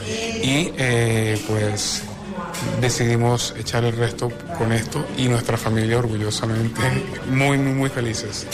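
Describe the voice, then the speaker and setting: A man's voice: mid-range voice, lively intonation, slow, loud music behind the voice. A Venezuelan man speaking in a radio street interview, earnest and proud. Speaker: Venezuelan community representative.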